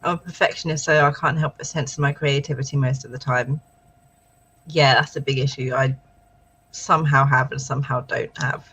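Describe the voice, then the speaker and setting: A woman's voice: extremely low pitch, steady tone, normal speed, a bit bright, breathy edge, rough recording. A British woman co-hosting a casual writing livestream, chatting and reading out viewer comments encouragingly. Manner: chatty, encouraging, thinks aloud. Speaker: livestream co-host.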